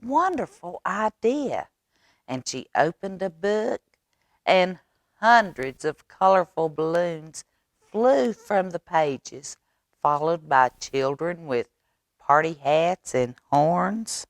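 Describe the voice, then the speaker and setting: Very slow, animated woman storyteller. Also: deep voice, no room sound, clean studio sound. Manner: dramatic, expressive, with drawn-out words and hushed asides. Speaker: library storyteller reading to children. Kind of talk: animated picture-book read-aloud with sound effects.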